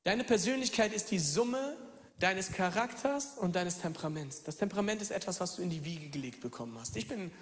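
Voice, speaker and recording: A pastor in his thirties from Germany preaching a lively sermon, moving from loud calls to hushed near-whispers and speaking straight to his listeners. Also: sits high, a little fast, big-room sound. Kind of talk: lively sermon with personal stories.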